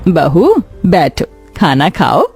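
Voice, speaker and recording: A woman's voice: low-pitched, wildly swooping pitch, takes it slowly, darkish tone, breathy edge, soft background music, exaggerated voice. A woman voicing a gracious, kindly older rich mother-in-law in a cartoon story, stately and caring. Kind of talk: Hindi cartoon story dialogue.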